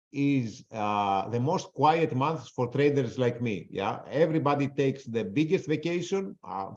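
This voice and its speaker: A man's voice: medium pitch, darkish tone. A man with a non-native accent in English, giving blunt, casual live trading commentary and answering audience questions. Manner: blunt, casual, swears freely. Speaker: trader presenting a webinar. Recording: dull lo-fi webinar audio.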